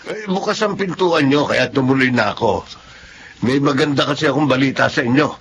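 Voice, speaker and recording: Gravelly, supportive Filipino neighbour. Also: male voice, medium pitch, expressive, fairly quick, fairly bright tone, slight background noise. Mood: frank, neighbourly. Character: indignant, supportive male neighbour and storekeeper. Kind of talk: Filipino radio drama acting.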